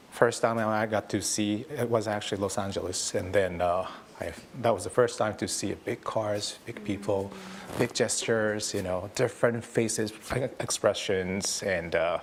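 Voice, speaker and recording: Low-pitched Japanese businessman in an interview. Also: steady tone, moderate pace, slight background noise. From Tokyo, Japan. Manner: informal, loosely phrased with repeated "actually" and "you know". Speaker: company founder and CEO being interviewed. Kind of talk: conversational interview answers.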